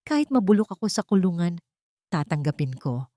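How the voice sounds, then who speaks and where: A woman's voice: fairly low pitch, very animated, a little fast, dark tone, dry recording, clean studio sound. A woman voicing a broken, anguished, remorseful husband who has been betrayed, in an audio story. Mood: resigned remorse.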